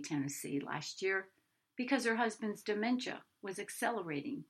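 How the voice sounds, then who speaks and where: A woman narrates her own written short story with expressive, storytelling delivery. Her voice is bright and forward, with a very lively rise and fall. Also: lowish voice.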